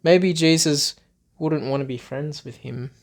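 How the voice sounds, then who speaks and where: A man's voice: a little high, a little slow, creaky. A man reading a Bible story aloud for children, expressively, in simple repeated phrases with questions to his young listeners.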